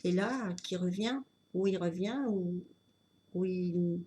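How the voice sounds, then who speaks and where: A woman with a cold giving an unscripted tarot reading, talking in a halting way with many fillers and restarts. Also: on the low side, mellow, slight background noise. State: has a cold. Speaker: tarot reader.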